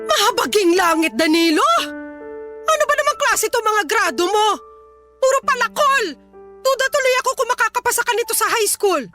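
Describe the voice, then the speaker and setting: A woman's voice: extremely high pitch, lively intonation, moderate pace, bright, forceful tone, soft background music, exaggerated voice. A woman playing an exasperated, scolding widowed mother in a radio drama. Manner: scolding, emphatic, insistent. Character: exasperated nagging widowed Filipino mother, scolding her son.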